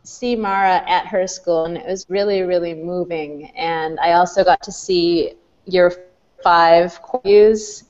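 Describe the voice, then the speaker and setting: A woman's voice: on the low side, steady tone, unhurried, a little mellow. A woman hosting a panel chat, chatty and giggly as she asks questions. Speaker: host. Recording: dull lo-fi sound.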